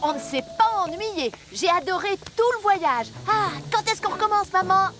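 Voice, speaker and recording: Une actrice de doublage français jouant un enfant espiègle de dessin animé, avide d'aventure. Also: female voice, very high-pitched, expressive, normal speed. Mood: thrilled. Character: cheeky child bored until adventure starts. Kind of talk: French cartoon dub. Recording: music behind the voice.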